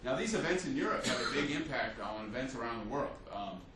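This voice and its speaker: A man's voice: mid-range voice, expressive, quick pace, fairly bright tone, slight background noise. Man lecturing in an echoey room. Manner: loose storytelling with frequent fillers and restarts. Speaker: history teacher. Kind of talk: unscripted classroom lecture. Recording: echoey room, mic at a distance.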